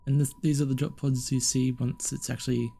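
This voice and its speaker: A man's voice: mid-range voice, level tone, fairly quick, vocal fry, mellow, a little hiss or hum. A man giving casual, puzzled, hesitant gameplay commentary, trailing off and repeating himself. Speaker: gaming video narrator. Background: game music.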